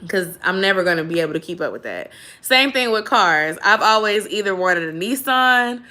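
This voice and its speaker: A woman's voice: mid-range voice, big pitch swings, moderate pace, sharp tone. A woman from Georgia with a Southern accent, chatting casually with viewers on a livestream and greeting them with y'all. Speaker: YouTube host.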